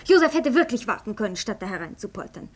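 An actress playing a proud, irritable and bitter young invalid in a radio drama, brusque and defiant in manner. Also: female voice, medium pitch, sing-song, fast, slight background noise, close to an everyday voice. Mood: bitter, mocking.

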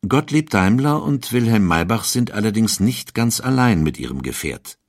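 Low-pitched male narrator, dry studio sound. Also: sing-song, moderate pace, no room sound. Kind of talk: scripted documentary narration.

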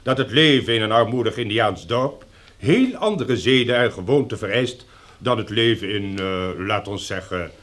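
A man's voice: on the low side, expressive, moderate pace, a bit bright. A man acting in a radio drama as an elderly sociology professor, earnest and long-winded, lecturing in a pedantic, oratorical way. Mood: pedantic, ponderous.

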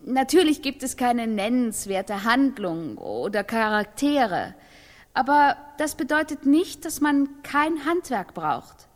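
A woman giving a careful, measured testimony in a radio play. Her voice is high and unhurried, ringing with long reverb. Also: very animated. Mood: measured, assured.

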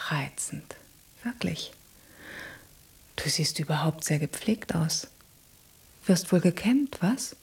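A woman's voice: deep voice, animated, slow pace, long pauses, darkish tone, husky, in a whisper, heavily acted. An actress playing a flirtatious, self-assured female cat in a radio play, coaxing and often dropping to a murmur. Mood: teasing. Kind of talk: German radio play acting.

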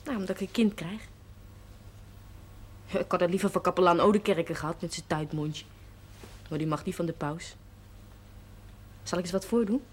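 A woman's voice: on the low side, normal speed, pauses often, slightly rough. A woman playing a brash, cheerful young pregnant woman in a television period drama, slangy and unashamed. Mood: frank, cheerful. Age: young adult. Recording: noisy old television soundtrack.

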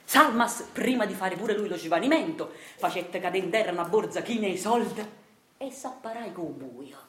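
A woman's voice: mid-range voice, expressive, moderate pace, a bit bright. Theatrical woman narrator, echoing room. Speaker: solo actress narrating. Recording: roomy sound with faint noise.

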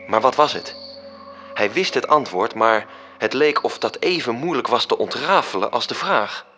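An actor playing a coolly self-satisfied angel who recounts his schemes in a measured, precise radio drama narration. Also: medium pitch, expressive, pushed and bright, soft background music. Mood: measured, solemn.

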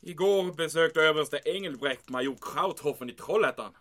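A man's voice: fairly high pitch, fairly bright tone, lightly acted. A man playing a pompous German army major in an audio drama, blustering, evasive and easily flustered. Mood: confidential, uneasy.

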